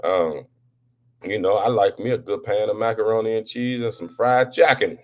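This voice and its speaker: A man's voice: fairly even intonation, normal speed. Rambling man, casual monologue. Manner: rambling, repeats words and restarts, rises loud and high when impassioned. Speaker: live talk show host.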